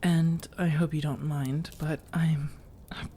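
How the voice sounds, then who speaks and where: Casting: a woman to play a seductive vampire lady for ASMR audio roleplay, warm low-lit timbre, soft-spoken, slow teasing pauses, whispery edges. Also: level tone, a little hiss or hum. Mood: coy, teasing. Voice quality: soft, breathy delivery slipping into whispers. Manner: teasing, measured, intimate, with deliberate pauses. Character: flirtatious, coaxing female vampire seeking a roommate.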